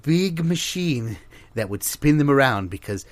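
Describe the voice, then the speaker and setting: A man's voice: mid-range voice, expressive, a little slow, no room sound, natural voice. A man playing a flustered, apologetic academic researcher, stammering formally through an improvised comedy interview. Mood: flustered, hesitant.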